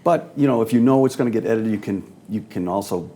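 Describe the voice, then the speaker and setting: A man co-hosting a podcast, chatting casually and asking curious questions with quick asides. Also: fairly low pitch, mellow, slight background noise. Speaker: podcast co-host. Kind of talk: casual conversation.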